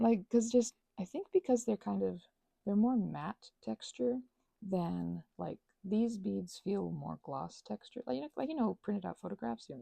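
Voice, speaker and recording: A woman chatting casually in a rambling ASMR craft style, with playful, animated asides and frequent self-interruptions. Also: a little high, creaky, no room sound. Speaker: ASMR and audio creator.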